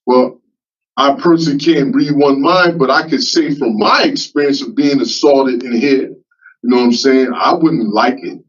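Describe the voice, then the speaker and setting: A man in his fifties at a parole hearing, speaking haltingly with many fillers and repeats. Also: medium pitch, husky. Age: 50s. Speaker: parole applicant. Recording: muffled distant remote-call audio.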